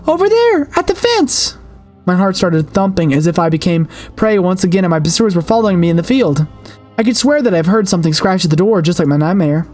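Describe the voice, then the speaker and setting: Expressive horror narrator over music. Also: mid-range voice. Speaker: streamer reading a visual novel aloud. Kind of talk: dramatic horror story narration.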